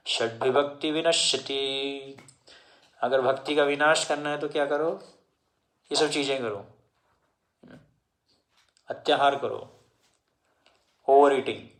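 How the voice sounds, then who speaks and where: A man giving a devotional discourse in Hindi, slowly explaining scripture verses and mixing in English words. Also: mid-range voice, a bit bright. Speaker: devotional speaker.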